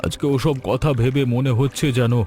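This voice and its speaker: A man playing a remorseful older landowner in a cartoon, once proud, speaking in a halting, confessional way. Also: medium pitch, fairly even intonation, warm tone, lightly acted. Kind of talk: dramatic cartoon dialogue. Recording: music bed under the voice.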